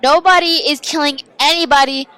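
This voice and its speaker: A woman's voice: high voice, steady tone, a little fast, bright, forceful tone. A woman playing an exasperated, slangy small-town teenager in a radio drama. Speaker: actor in an audio drama.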